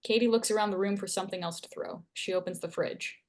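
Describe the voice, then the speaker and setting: A woman's voice: medium pitch, fairly even intonation, fast. A woman reading scene headings and stage directions aloud as narrator at a screenplay table read.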